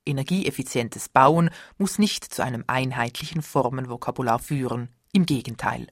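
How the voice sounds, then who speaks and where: This narrator reads a formal radio script in a steady, even way. The recording is dry and close, with no room sound around the voice. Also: mid-range voice, moderate pace, no echo.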